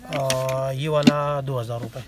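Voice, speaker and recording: A man's voice: medium pitch, level tone, takes it slowly, very noisy. A man, casually showing goods and giving prices as a shopkeeper. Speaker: shopkeeper, seller. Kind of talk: casual product showing and pricing. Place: small cluttered market shop indoors. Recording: busy market shop, background chatter.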